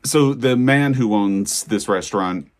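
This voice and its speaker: A man with a fairly low voice gives a casual reading update. He talks in a loose, rambling way, doubling back and correcting himself as he thinks through what he liked. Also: measured pace. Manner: rambling, self-correcting, thoughtful. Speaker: book reviewer. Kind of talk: casual book talk monologue.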